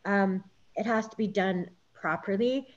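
A woman journalist on a panel over a video call, reflective and halting, with many fillers. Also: mid-range voice, highs cut off. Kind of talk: panel discussion.

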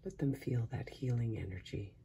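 A man softly guiding a sleep meditation in a hushed, breathy voice that often sinks into a whisper, slow and soothing with long pauses. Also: mid-range voice, slight background noise. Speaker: meditation guide. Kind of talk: guided sleep meditation, soft-spoken.

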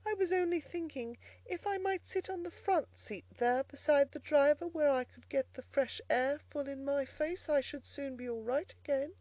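A humble washerwoman from a storybook, as a woman plays her, really a toad in disguise. The pitch is lifted and the manner is meek, polite and pleading. The recording is close and dry. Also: normal speed, a little mellow, no echo, close to an everyday voice, poor audio. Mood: feeble, grateful. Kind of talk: storybook character voicing.

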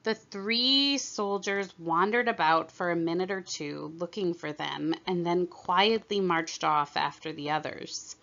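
Slow, bright-voiced woman reading aloud. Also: fairly low pitch, gravelly, highs cut off. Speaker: storyteller reading aloud for a museum. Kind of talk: scripted children's story narration.

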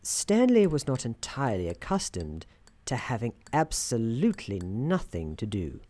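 Man playing a wry storybook narrator, male, soft-spoken, soothing ASMR roleplay, mid-pitched, very expressive melody. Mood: calm narrating. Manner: measured, theatrical storybook delivery. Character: soothing male narrator in an office worker's head, wry and fanciful.

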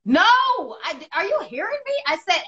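A woman in her thirties co-hosting a casual live video chat from home, animated and quick to laugh, on a phone or laptop mic. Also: very high-pitched, lo-fi sound, a loud crowd. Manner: animated, laughs often, bright delivery. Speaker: co-host. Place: indoors at home. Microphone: phone or laptop camera on video call.

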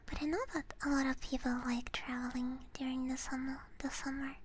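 A woman ASMR streamer speaking in a gentle, soothing, breathy whisper close to a binaural microphone, chatting unhurriedly to her viewers. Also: high-pitched, level tone, a little fast, a little hiss or hum. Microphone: close binaural ear microphone.

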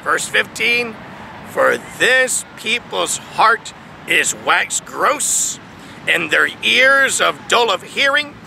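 A man's voice: sits high, big pitch swings, takes it slowly, gravelly, bright, forceful tone. A man in his thirties preaching an emphatic sermon in an open field, reading scripture aloud and rising and falling for emphasis. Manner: emphatic, preaching with swells and drops, reads verses aloud. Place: large open field outdoors. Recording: outdoor traffic noise behind. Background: distant traffic noise.

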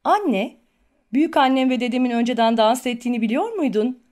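A woman voicing an excitable preschool boy in a cartoon, exclaiming eagerly in a childlike way. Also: fairly high pitch, fairly quick, close to an everyday voice. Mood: proud.

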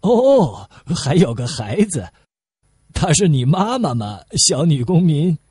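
A man's voice: a little high, sing-song, slow, darkish tone, husky, dry recording, pitched above the performer's own voice, heavily acted. A man voicing a nosy, jocular little wood sawyer in an audiobook, familiar and teasing.

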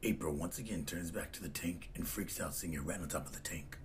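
A man's voice: sits low, a little fast, slightly rough. A man reading scripted, descriptive narration for a comic dub.

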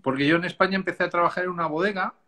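A man in his fifties from Spain with a Spanish accent softened by years in Chile, a winemaker chatting casually and at length on a video call. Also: high voice, steady tone, racing. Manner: chatty, rambling, laughs easily. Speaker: winemaker guest. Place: dark room at home. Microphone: video call, laptop camera.

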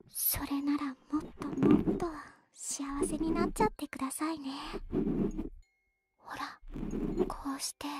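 Woman doing a sweet big sister asmr, whispery n slow, high voice, doting, anime style. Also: background noise. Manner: soft, breathy, often whispered. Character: gentle doting older sister giving ear cleaning. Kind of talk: Japanese anime ASMR roleplay.

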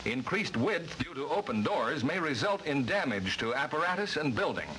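A man's voice: fairly high pitch, big pitch swings, moderate pace, fairly bright tone, a little hiss or hum, low-quality recording. A man reading formal, precise instructional narration for a vintage training film, on a worn old soundtrack.

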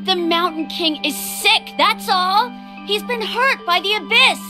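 A woman's voice: very high-pitched, measured pace. A woman voicing an earnest, loyal young village boy in a video game, sounding youthful and plaintive. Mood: indignant. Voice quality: youthful, boyish. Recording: game music behind.

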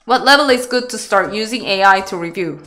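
A Korean woman in her thirties, a Go teacher answering chat questions on a webcam video call, chatty and halting in English with a Korean accent. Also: mid-range voice, a bit bright. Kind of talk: live online lecture Q&A. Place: small room indoors.

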